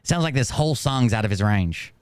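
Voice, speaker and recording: A man's voice: medium pitch, animated, a little fast, very noisy. A man with a nasal voice hosting a livestream, giving casual reaction commentary with dry, teasing remarks. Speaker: livestream host.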